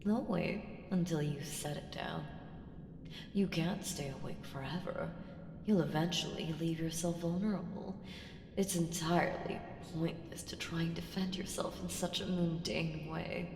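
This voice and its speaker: A woman's voice: sits low, fairly even intonation, a little slow, creaky, a little mellow. A woman voicing an ancient evil entity in an ASMR roleplay, seductive, sly and taunting, with ominous teasing and whispered phrases. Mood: instructing, foreboding. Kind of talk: ASMR roleplay audio drama. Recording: reverberant hall effect, faint noise.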